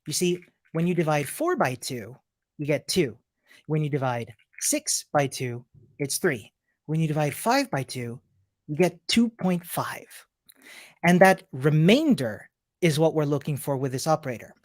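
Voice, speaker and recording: A woman's voice: low voice, animated, unhurried, darkish tone. A woman from Chile teaching a live-streamed coding lesson, upbeat and chatty as she greets viewers and walks through her shared screen. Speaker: coding instructor.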